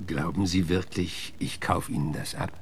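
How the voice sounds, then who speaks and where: A man's voice: deep voice, fairly even intonation, moderate pace, slight background noise, natural voice. A man playing a blunt, suspicious American marshal in a German radio drama, firing curt, coarse questions. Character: gruff, blunt, suspicious male US marshal interrogating suspects.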